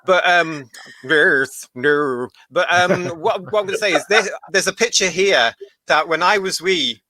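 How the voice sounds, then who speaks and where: A man's voice: sits high, a little slow, bright, forceful tone, dry recording, rough recording. A middle-aged man chatting casually on a podcast, polite and rambling. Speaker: podcast guest panelist. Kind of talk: casual fan chat.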